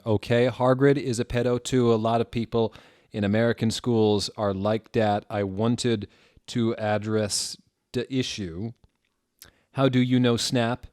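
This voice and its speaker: A man's voice: on the low side, dry recording, clean studio sound. A man doing a mock-dramatic, amused comedic reading of a story. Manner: theatrical, amused, swings into loud exclamations. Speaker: reader of fan fiction.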